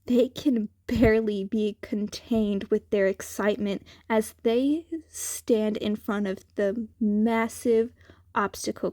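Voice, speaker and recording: A woman narrates a story from a script at a slow pace. Her voice sits a little high, and the sound is dry, with very little room around it. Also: a little mellow, no room sound. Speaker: podfic reader. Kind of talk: scripted fan fiction narration.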